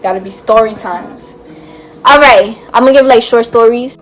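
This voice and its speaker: A young woman vlogger chatting to camera and telling stories, her voice hoarse and cracking as she loses it. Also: fairly high pitch, moderate pace, faint music behind, poor audio. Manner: chatty storytelling, laughs at own jokes. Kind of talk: casual chat to camera.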